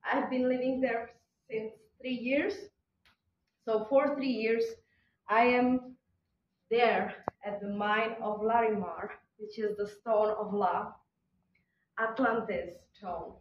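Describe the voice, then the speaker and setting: A woman whose first language is Czech, giving a reflective spiritual talk at a conference in slow, halting phrases with pauses. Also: a little high, fairly even intonation, slight background noise. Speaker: conference speaker. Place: hotel conference hall. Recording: distant, dull, echoey hall recording.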